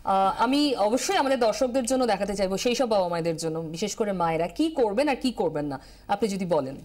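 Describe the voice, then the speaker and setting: A woman with an Indian Bengali accent hosting a live TV talk show, brisk, stepping in and summing up. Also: mid-range voice, animated, darkish tone. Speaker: television host.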